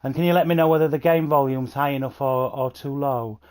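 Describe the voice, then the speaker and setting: A man's voice: mid-range voice, steady tone, brisk, darkish tone. Chatty man streaming a game. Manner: chatty, enthusiastic, talks to viewers by name. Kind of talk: casual livestream commentary. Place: at home playing on a console. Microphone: new gaming headset.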